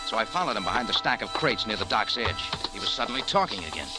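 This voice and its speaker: A man's voice: fairly low pitch, big pitch swings, fast talker. An American actor playing a wry, tough male private detective, clipped and wisecracking, in a 1940s radio drama. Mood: wry, tense narration. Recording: old radio recording with music behind.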